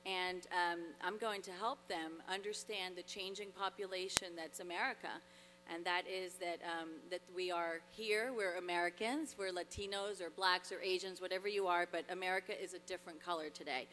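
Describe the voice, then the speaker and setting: A woman's voice: lowish voice, steady tone, moderate pace, a bit bright. A woman in her thirties gives an earnest, grateful award acceptance speech at a podium microphone on stage, with frequent fillers and restarts. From Dominican Republic. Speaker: award honoree. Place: large hall, on stage at a podium. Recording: long reverb in a large hall, faint hum.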